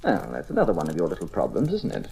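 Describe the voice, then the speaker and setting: Radio-drama villain: very low female voice, swooping intonation, suave and menacing, commanding schemer, noisy old recording. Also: huge pitch swings, rapid-fire, gravelly, warm tone, heavily acted. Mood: smug, briskly instructing. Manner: suave, articulate, condescending, menacing. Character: cool, scheming, commanding criminal ringleader plotting a theft.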